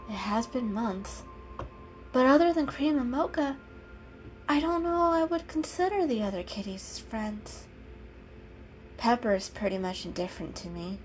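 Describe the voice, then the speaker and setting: A woman voicing a gentle, anxious female cat with an earnest, hesitant manner in a dramatic storybook reading. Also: medium pitch, lightly acted, low-quality recording. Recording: music behind the reading.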